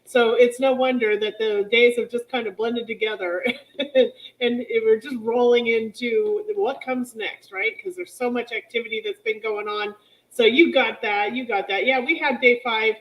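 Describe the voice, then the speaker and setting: A woman hosting a live stream who chats in a relaxed, easygoing way and laughs easily. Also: on the high side, normal speed, poor audio. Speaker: show host. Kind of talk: live-stream casual chat.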